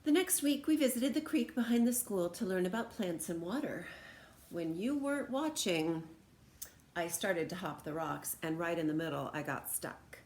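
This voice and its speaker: Echoey, low-pitched woman reading a storybook. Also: normal speed. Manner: animated, dramatic emphasis. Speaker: storyteller reading a picture book aloud. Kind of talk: expressive children's read-aloud narration. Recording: roomy echo, background noise.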